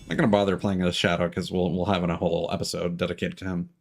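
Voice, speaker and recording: A man giving casual let's-play commentary as a streamer, talking in a rambling, halting way. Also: sits low, flat delivery, creaky. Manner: rambling, hesitant, halting delivery; casual swearing. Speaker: game streamer, host. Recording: game music behind the voice. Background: video game music.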